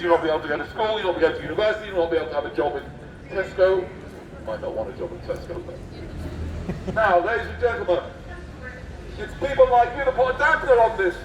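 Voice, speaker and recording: A man reading the news aloud as satirical street oratory, with theatrical, sarcastic mock enthusiasm. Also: sits high. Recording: distant outdoor mic, faint street noise.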